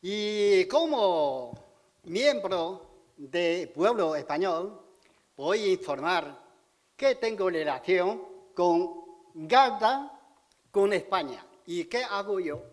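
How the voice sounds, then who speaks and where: A man in his sixties from China, speaking halting, simple Spanish with a Chinese accent in a public speech at a podium in a large auditorium. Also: high-pitched, expressive. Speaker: community speaker at civic event. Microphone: podium microphone. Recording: hall reverb.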